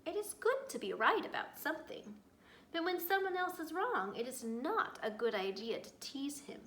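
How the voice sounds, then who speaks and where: A woman's voice: high voice, big pitch swings, moderate pace, fairly bright tone, a little hiss or hum, pitched above the performer's own voice. A woman voicing a wise, caring old grandmother squirrel. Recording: echoey room.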